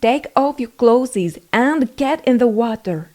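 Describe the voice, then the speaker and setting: Woman voicing a bold, animated clever tomcat. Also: on the high side, slow pace. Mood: urging. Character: clever, bold male talking cat, cunning and commanding.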